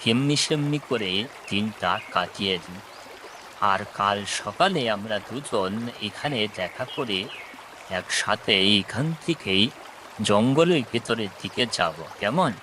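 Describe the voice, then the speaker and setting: A man's voice: fairly low pitch, expressive, unhurried, background noise. A man voicing an earnest, reassuring pigeon friend in a children's cartoon.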